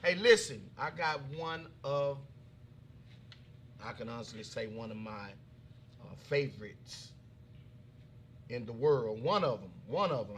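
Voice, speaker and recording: A man hosts a relaxed talk show in a folksy, chatty way, circling back and repeating himself. His voice is bright and forceful. A faint hiss sits under the recording. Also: medium pitch. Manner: folksy, repeats himself, calls audience y'all. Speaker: comedian. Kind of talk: casual chat.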